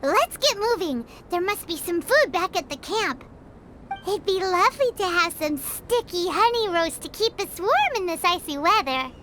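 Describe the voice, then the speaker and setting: A woman's voice: very high voice, lively intonation, moderate pace, fairly bright tone, slight background noise. A woman voicing a tiny, cheeky, childlike fairy companion in a video game, perky and cheerful. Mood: encouraging, eager. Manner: speaks of self in third person, perky.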